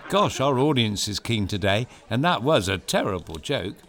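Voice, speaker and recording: A man's voice: on the low side, big pitch swings, moderate pace. A man hosting a children's story show, reading a script in a playful, theatrical and explanatory way. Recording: clear music behind voice.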